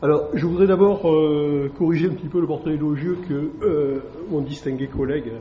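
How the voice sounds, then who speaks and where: A man's voice: a little high, steady tone, fairly quick. A man, a classics teacher, giving a hesitant public talk to an evening audience, with many fillers and tag questions. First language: French. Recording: echoey dull room recording.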